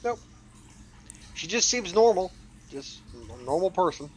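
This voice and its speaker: A man's voice: high voice, expressive, unhurried, breathy edge. A man co-hosting a podcast in casual chat, rambling with restarts and swearing. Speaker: podcast co-host.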